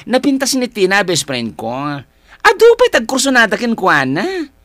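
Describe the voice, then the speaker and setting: A woman's voice: fairly high pitch, wildly swooping pitch, moderate pace, fairly bright tone, dry recording, studio quality. An actress with an Ilocano accent playing a guilty young woman in a radio drama, hesitant, pleading and melodramatic. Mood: defensive, sharp. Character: guilty young woman who took her best friend's boyfriend. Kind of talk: Filipino radio drama acting.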